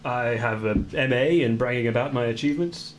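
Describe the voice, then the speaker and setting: A man's voice: medium pitch, fairly quick, close to an everyday voice, rough recording. A man playing a boastful, self-important character hiding insecurity behind mock modesty in a radio play. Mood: smug.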